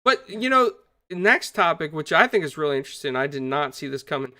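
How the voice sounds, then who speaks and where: Man, podcast host, upbeat, crisp voice, dry close mic. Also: on the high side, sing-song, brisk, no echo. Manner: upbeat, shouts out viewers. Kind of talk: casual gaming podcast chat.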